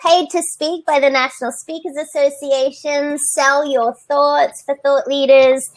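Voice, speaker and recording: A woman hosting a live video chat, chatty and encouraging, with a naturally high, light voice, talking into a computer mic. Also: steady tone, measured pace. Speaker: host. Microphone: computer mic on video call.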